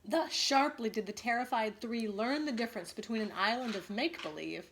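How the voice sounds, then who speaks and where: A woman's voice: a little high, slight background noise. Woman reading aloud like a schoolteacher. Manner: expressive reading, pausing to ask reflective questions. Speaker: teacher reading a story aloud to students. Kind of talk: read-aloud storytelling with teaching questions.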